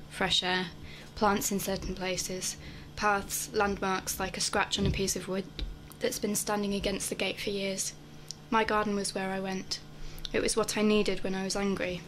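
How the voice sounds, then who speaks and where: A woman's voice: mid-range voice, a bit bright, lightly acted. A young woman's mid-range voice an actress in role delivers a scripted, personal radio-play monologue. There is faint noise behind it. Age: young adult. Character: wistful young woman longing for home.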